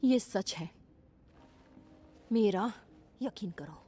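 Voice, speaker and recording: A woman's voice: medium pitch, animated, very slow, dark tone. A woman dubbing an insistent, mysterious woman who speaks earnestly of past lives in a fan-made action film dub. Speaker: dubbing actor.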